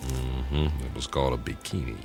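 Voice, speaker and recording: Calm puzzled space captain type, real deep voice, radio drama with music behind. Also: male voice, moderate pace, vocal fry. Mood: pondering. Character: steady, puzzled male leader of space crew. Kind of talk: audio drama acting.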